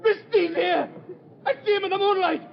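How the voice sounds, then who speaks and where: An actor playing a guilty, blustering swindler and nervous bridegroom in an old-time radio horror play, melodramatic and jittery. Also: male voice, extremely high pitch, fairly even intonation, normal speed, gravelly. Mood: panicked terror. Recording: dull, noisy old radio broadcast recording.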